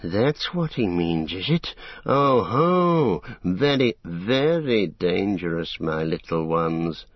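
A man's voice: sits low, sing-song, slow, no room sound, highs cut off, exaggerated voice. A man voicing a menacing, greedy orc in an audiobook, hissing and drawing out mock-tender words with cruel relish. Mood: sly, eager. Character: sly, menacing male orc, greedy, falsely sweet, cruel. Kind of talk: audiobook fantasy villain.

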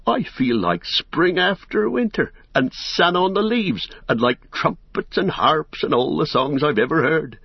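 A man's voice: fairly low pitch, big pitch swings, measured pace, breathy edge, no room sound, lo-fi sound, full character voice. Man voicing Sam, an earnest, easily amazed hobbit. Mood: overjoyed. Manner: exclaiming, wondering, plain-spoken.

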